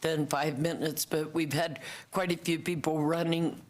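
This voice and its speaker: A woman from the American South with a raspy voice, chairing a public board meeting in a slow, halting way. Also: sits low, steady tone, a little mellow. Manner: slow and halting, with many fillers.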